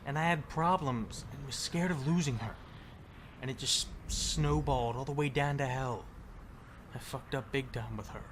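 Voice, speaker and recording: A man's voice: medium pitch, normal speed, fairly bright tone, slight background noise, close to an everyday voice. A man playing a bitter, regretful survivor in a zombie audio drama, sarcastic and slangy but caring underneath. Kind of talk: audio drama acting, casual profane dialogue.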